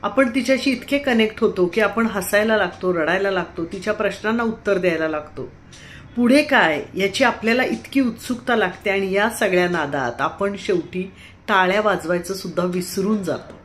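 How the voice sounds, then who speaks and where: A woman with an Indian accent giving a personal theatre review in an expressive, admiring way, phrase by phrase. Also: medium pitch, normal speed. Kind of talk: personal theatre review, spoken to camera or phone. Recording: clearly noisy background ambience.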